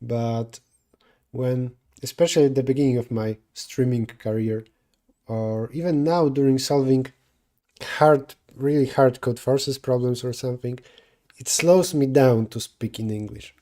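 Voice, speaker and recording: Mellow-voiced Polish man thinking aloud. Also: mid-range voice, measured pace. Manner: thinking aloud, self-correcting mid-sentence. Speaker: streamer solving coding problems. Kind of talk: live coding stream, answering chat.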